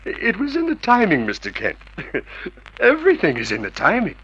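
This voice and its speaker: An actor playing a giggly, teasing joke shop owner and husband in an old radio drama. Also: male voice, high voice, huge pitch swings, normal speed, fairly bright tone, husky, heavily acted. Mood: gleeful, teasing. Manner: teasing, constantly giggling and chuckling. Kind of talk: mid-century radio drama acting. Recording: muffled vintage radio drama recording.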